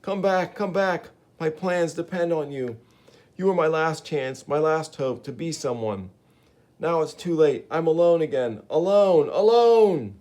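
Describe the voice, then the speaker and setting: A man voicing a touchy, shrill man in an ape suit who rants and pleads to be taken seriously. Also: expressive, normal speed, lightly acted. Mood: despairing. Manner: whining, rising to frantic yells.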